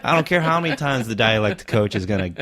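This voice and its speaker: A man's voice: on the low side, expressive, quick pace, fairly bright tone. A middle-aged man whose first language is Vietnamese, talking casually and animatedly as a podcast guest and stunt performer.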